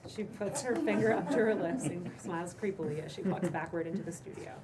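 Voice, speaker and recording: A woman's voice: on the low side, brisk, a loud crowd. A woman reading stage directions as scripted narration at a casual table read. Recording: distant room mic, clear background noise.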